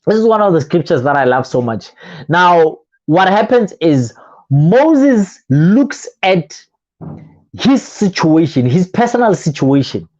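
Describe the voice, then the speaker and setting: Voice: medium pitch, big pitch swings, slow, a little mellow, dull audio. A motivational coach giving a talk full of rhetorical questions and repeated phrases, often restarting words.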